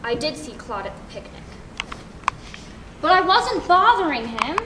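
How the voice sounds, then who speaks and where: A woman's voice: high voice, big pitch swings, a little slow. A young woman playing a defiant, scheming little girl who wheedles her mother, in a stage monologue. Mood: defiant, sulky. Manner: childish, wheedling. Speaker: student actor. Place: theatre workshop performance space. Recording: echoey room from far off, hissy noise.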